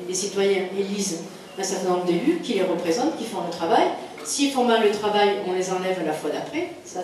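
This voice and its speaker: A woman's voice: medium pitch, mellow. A French woman, a local elected official, giving an emphatic, insistent campaign speech with repetition and rhetorical questions. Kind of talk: political campaign speech to a meeting. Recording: very echoey room, distant mic, faint noise.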